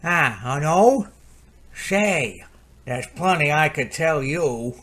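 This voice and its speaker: A man playing a nervous, scheming, hapless fellow in an old-time radio comedy, halting and coaxing. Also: fairly high pitch, expressive, a bit bright, full character voice.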